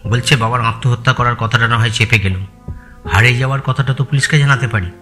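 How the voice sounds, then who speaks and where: An actor playing an anxious, obedient household manager who reports to a detective in an audio story. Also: male voice, medium pitch, steady tone, quick pace, over music, lightly acted. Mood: worried. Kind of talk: Bengali audio story acting.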